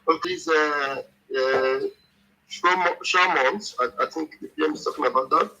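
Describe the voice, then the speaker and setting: A man's voice: a little high, a little slow, creaky, bright, forceful tone, a little hiss or hum, poor audio. A man moderating an online political discussion.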